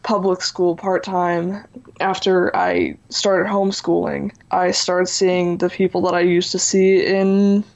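A teenage boy who still has a high voice, talking earnestly as an interview guest and using lots of "you know" and "like". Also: flat delivery, takes it slowly, gravelly, dull audio. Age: teens. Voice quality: high voice for a man. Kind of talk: candid interview talk.

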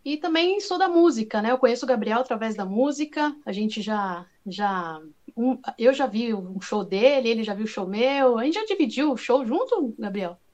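Woman, Brazilian accent, higher-pitched voice, casual chat, informal, animated podcast guest. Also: normal speed. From Brazil, moved from Paraguay as a toddler.

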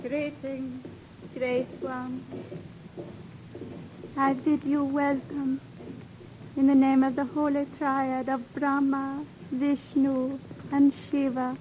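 Mystic high priestess: high voice, very slow solemn prophecy, old radio drama. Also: female voice, little pitch movement, darkish tone. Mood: solemn, prophetic. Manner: slow, incantatory, formal pronouncements. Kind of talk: 1950s radio drama acting. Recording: faintly noisy old radio recording.

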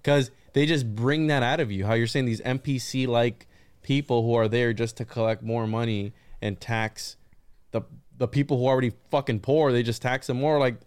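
A man's voice: medium pitch, steady tone, moderate pace, a little mellow, clear, resonant tone, dry recording. A man hosting a podcast, bantering in a joking, conspiratorial way and swearing casually. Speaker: podcast host.

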